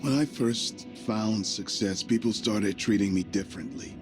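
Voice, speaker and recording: A man playing a calm, self-assured space company tycoon in a sci-fi game, speaking in a reflective, unhurried way. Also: fairly low pitch, natural voice. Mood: introspective. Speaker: voice actor in a video game. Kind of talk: cinematic sci-fi game acting. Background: music.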